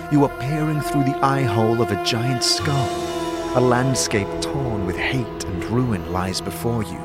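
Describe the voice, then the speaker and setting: A man giving dramatic second-person narration for a fantasy audio drama. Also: medium pitch. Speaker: narrator and lead actor. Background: music.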